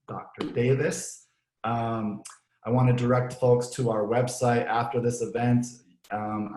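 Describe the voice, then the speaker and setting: Hoarse low-voiced man in an echoey room. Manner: relaxed, many ums and restarts. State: slightly hoarse, clearing throat. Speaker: host of an organization's virtual ceremony. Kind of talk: unscripted closing remarks and thank-yous.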